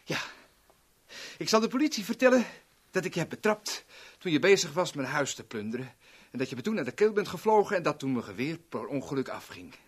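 Swooping, husky, cocky villain. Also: male voice, a little high, very animated. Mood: menacing. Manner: taunting, theatrical, drawn-out phrasing. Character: cocky, sadistic male villain, insolent and gloating. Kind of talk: 1970s Dutch radio drama acting.

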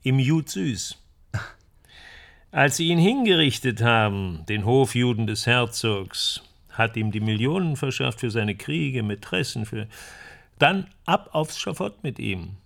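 A wry, bookish fellow in a radio drama set in 1930s Germany. His speech is very unhurried, with a creaky, gravelly voice. He muses with dry amusement. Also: mid-range voice, expressive, a bit bright. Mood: wry, reflective. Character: bookish, wry male friend in Nazi-era Germany.

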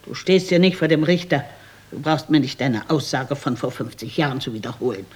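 A woman's voice: very deep voice, animated, fairly quick, darkish tone, husky, heavily acted. An actress playing a nagging old wife in her seventies, weary and sardonic, in a German radio play. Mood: scolding. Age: older.

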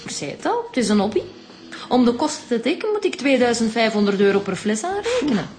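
A woman's voice: a little high, sing-song, fast, background noise. A woman playing a haughty, aloof wife and hobby perfumer in a radio drama. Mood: proudly precise. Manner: cool, condescending. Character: haughty, aloof wife who distills essential oils.

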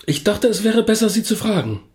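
A man's voice: high-pitched, sing-song, brisk, a little mellow. A man acting in a radio drama, playing an awkward visitor who asks hesitant but probing, reproachful questions.

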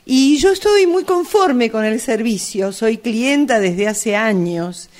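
A woman plays an absurdly stubborn female customer in a radio comedy sketch, with an Argentine accent. Her voice sits a little high and moves slowly, with halting, earnest phrasing. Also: lively intonation, a little mellow. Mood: hesitant, earnest. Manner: hesitant, halting delivery. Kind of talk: amateur radio play acting.

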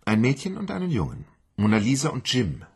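An actor playing a shy, childlike young man in love, speaking softly and dreamily in a radio drama. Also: medium pitch, huge pitch swings, a little fast, creaky, breathy edge, heavily acted. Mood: dreamy.

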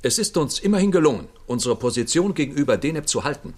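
An actor playing a proud, stiff military general in a 1960s German radio drama, formal and assertive. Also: male voice, a little high, sing-song, fast talker, a little mellow. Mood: indignant, formal.

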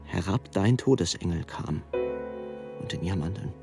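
Low-voiced man reading over music. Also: expressive, normal speed, gravelly. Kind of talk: literary reading of letters and verse.

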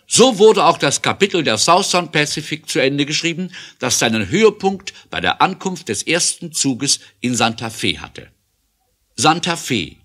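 A man's voice: mid-range voice, pushed and bright. A man narrating an adventure audio drama in an emphatic, theatrical way.

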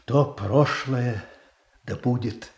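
An actor playing a grave church prelate in a radio tragedy, with ceremonial, drawn-out delivery. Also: male voice, medium pitch, a little mellow, echoey room. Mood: solemn. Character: solemn male church prelate, grave and conditional. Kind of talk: Russian stage tragedy as radio play.

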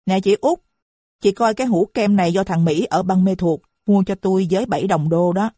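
A woman voicing a shrill, boastful, foul-mouthed middle-aged mother who screeches her abuse. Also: brisk, warm tone, no echo, studio quality, close to an everyday voice.

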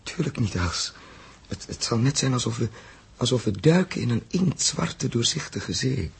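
An actor playing an earnest, resolute spaceship captain with a husky voice and weighty, deliberate delivery in a 1960s radio drama. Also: male voice, mid-range voice, lively intonation, exaggerated voice. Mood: grave wonder. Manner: weighty, deliberate, halting over phrases. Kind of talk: 1960s Dutch science fiction radio drama acting.

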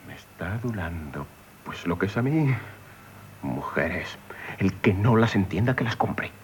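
An actor playing a proud, gruff nobleman who scorns women, theatrically, in a radio play. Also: low-pitched, big pitch swings, a little slow. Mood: brusque, suspicious. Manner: haughty, wry, muttering asides. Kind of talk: theatrical radio play acting in Castilian Spanish. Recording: noisy 1970s radio drama recording.

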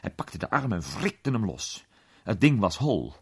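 Deep, husky male story narrator. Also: big pitch swings, unhurried, darkish tone. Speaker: narrator, audiobook reader. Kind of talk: scripted literary narration.

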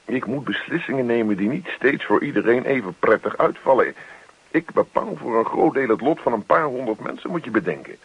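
Casting: exasperated middle-aged husband, worn down by office stress. Husky, swinging delivery, radio drama. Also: male voice, mid-range voice, expressive, a little fast. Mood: burdened, earnest. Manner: grumbling, sarcastic, exasperated. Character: grumpy, weary middle-aged husband, stressed personnel manager. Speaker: actor. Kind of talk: naturalistic radio play acting. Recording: dull, dry radio play recording.

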